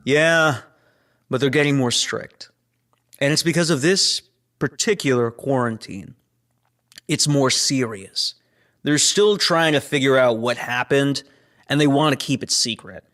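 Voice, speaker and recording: A man's voice: mid-range voice, measured pace, fairly bright tone, natural voice. Male actor as spaceship crewman, guarded yet chummy, conversational sci-fi audio drama delivery. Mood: explaining, confiding. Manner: casual, conversational.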